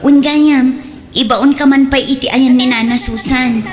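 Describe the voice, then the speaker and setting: A woman's voice: sits high, fairly even intonation, a little slow, soft background music. A woman playing an earnest, persevering younger sister who sells at the market, in a radio drama. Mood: earnest, hopeful.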